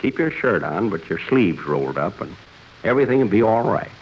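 An actor playing the Old Year, a kindly, folksy old-timer, in 1950s radio comedy style. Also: male voice, on the low side, big pitch swings, brisk, husky, full character voice. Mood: folksy, advising. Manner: folksy, rustic phrasing. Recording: muffled, noisy old radio broadcast.

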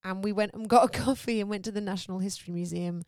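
An English woman in her thirties hosting a parenting podcast, bubbly and quick to laugh. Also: medium pitch, fairly even intonation, a little mellow, clean tone, dry recording, clean studio sound. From England. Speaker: podcast host. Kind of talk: casual podcast chat.

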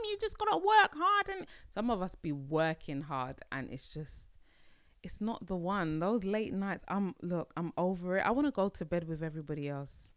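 A woman's voice: mid-range voice, a bit bright, smooth, no room sound, clean studio sound. A young woman hosting a solo podcast, talking casually in a playful, slangy way, often laughing. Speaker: podcast host.

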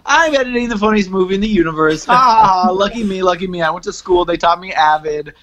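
A man's voice: high-pitched, fast talker, a bit bright, pitched above the performer's own voice. A man voicing a gleeful, self-satisfied nerdy film editor with mocking chuckles, improvised in podcast banter. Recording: dull, lo-fi audio.

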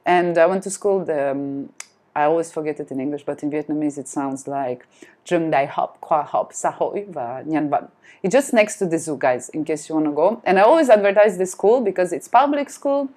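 A woman whose first language is Russian, chatting as a podcast guest in a lively, self-deprecating way. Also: low voice, fairly quick. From France. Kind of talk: casual chat.

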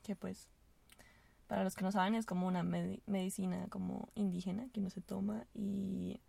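A woman's voice: mid-range voice, level tone, normal speed, vocal fry, warm tone, slightly rough, no room sound. A young woman from Venezuela, a podcast guest, chatting casually in a rambling, hesitant way with lots of fillers, recorded inside a car. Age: young adult.

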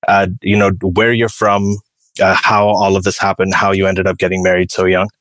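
Deep-voiced man hosting a podcast. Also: monotone, fairly bright tone, no room sound. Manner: halting, many fillers and restarts. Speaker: podcast host. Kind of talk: podcast interview.

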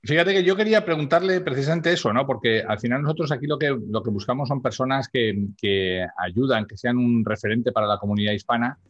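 A man hosting a podcast, chatty and energetic as he introduces his guest. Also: mid-range voice, fairly quick, few pauses, dull audio. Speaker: podcast host. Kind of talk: casual interview hosting.

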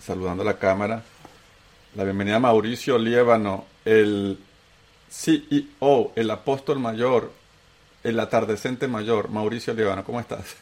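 Host guy with a venezuelan accent, deep voice, gets emphatic telling stories. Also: moderate pace. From Colombia. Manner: animated, storytelling, rising to emphatic. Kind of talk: casual chat.

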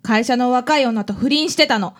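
Woman as a brash high-voiced young gal. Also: moderate pace, lightly acted. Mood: blunt. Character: brash, blunt, slangy young woman, client's friend. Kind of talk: radio drama acting.

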